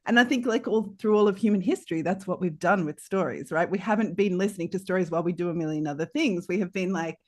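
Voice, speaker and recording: A woman's voice: fairly low pitch, quick pace, few pauses, darkish tone. A woman podcaster in casual conversation, speaking reflectively and thinking aloud as she goes. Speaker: podcaster and interviewer.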